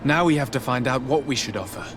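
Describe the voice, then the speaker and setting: An actor playing a calm, courteous, inquisitive male adventurer in a fantasy video game, polite and measured. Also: medium pitch, over music, lightly acted. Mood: puzzled. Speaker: player protagonist. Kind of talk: fantasy game dialogue acting.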